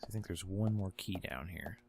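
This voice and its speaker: A man's voice: sits low, moderate pace, vocal fry, noisy background. A man giving casual, offhand commentary while playing a video game. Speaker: gamer recording a playthrough. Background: video game music and audio.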